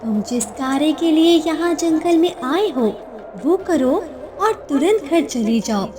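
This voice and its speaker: Casting: motherly cartoon lioness played by a woman, very high voice, tender, mysterious, music underneath. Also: expressive, measured pace. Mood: tender, reassuring.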